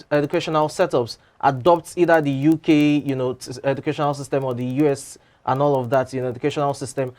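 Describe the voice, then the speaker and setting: A man sits in as a guest and comments in a measured way. He fills his pauses with "you know" and "um" as he builds his argument. His voice is in a middle pitch for a man. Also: darkish tone. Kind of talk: studio talk show commentary.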